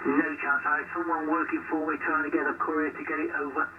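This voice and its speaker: A man's voice: a little high, little pitch movement, fast talker. A man coming through a shortwave radio transmission, an amateur operator chatting casually in a rambling, enthusiastic way. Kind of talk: casual chat over ham radio. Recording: noisy narrowband shortwave radio, distant.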